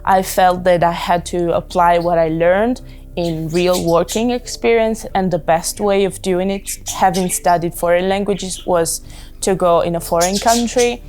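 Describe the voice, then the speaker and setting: A woman in her twenties from southern Italy, speaking English with an Italian accent in a steady, orderly way. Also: on the low side, unhurried, faint music behind. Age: 20s. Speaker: volunteer. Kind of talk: interview testimonial.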